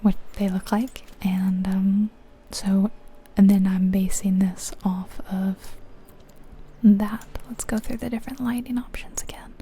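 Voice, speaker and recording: Whispering woman, very soft and mellow. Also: medium pitch, level tone, a little slow, slightly rough. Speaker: ASMR channel host. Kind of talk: whispered ASMR gaming commentary, casual and chatty.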